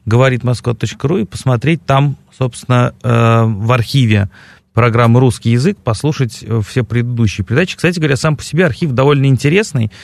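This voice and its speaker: A man's voice: mid-range voice, brisk, creaky, no room sound. A man hosting an educational radio talk show in a studio, speaking with frequent hesitations and filler sounds. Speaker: radio host. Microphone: studio microphone.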